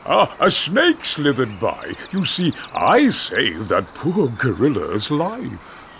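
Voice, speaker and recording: Pompous gravelly villain hunter for a video game, swoopy pitch, blustering and sly. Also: male voice, huge pitch swings, slow, fairly bright tone, a little hiss or hum, exaggerated voice. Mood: smooth excuses. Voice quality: gravelly, raspy. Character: scheming, short-tempered big male jungle hunter, middle-aged. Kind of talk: video game cutscene acting.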